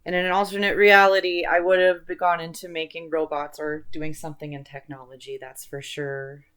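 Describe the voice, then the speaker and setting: Woman doing casual rambly vlog chat, lowish voice, informal and into her stuff. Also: little pitch movement, moderate pace, fairly bright tone. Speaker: host of an online video channel.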